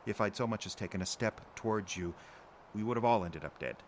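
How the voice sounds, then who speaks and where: A man playing an urgent, evasive middle-aged father on the run in an audio drama, speaking in a pressing, defensive, halting way. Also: fairly low pitch, a little hiss or hum, close to an everyday voice.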